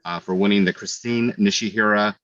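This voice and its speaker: A middle-aged man serving as a school board trustee, giving halting remarks with repeated words at a public meeting. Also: fairly low pitch, fairly even intonation, fairly bright tone, no room sound, dull audio.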